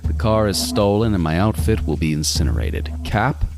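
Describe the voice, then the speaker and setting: A man playing a meticulous, obsessive serial killer who narrates a horror audio drama in a deliberate, brooding, gloating manner. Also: deep voice, very animated, creaky, full character voice. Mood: calculating. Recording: music bed under the voice.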